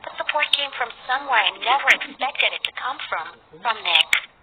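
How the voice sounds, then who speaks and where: A woman's voice: fairly high pitch, expressive, normal speed, very noisy. A woman reading a dramatic first-person scripted story as its narrator. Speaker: narrator of a cartoon story, in character as a young wife. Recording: thin phone-like sound, loud music behind. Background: loud music.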